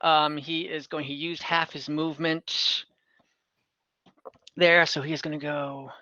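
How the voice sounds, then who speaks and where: A man's voice: fairly high pitch, little pitch movement, unhurried, pushed and bright, dry recording, highs cut off. A man acting as game master of an online tabletop game, explaining rules with many hesitations and fillers. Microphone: computer mic on a video call.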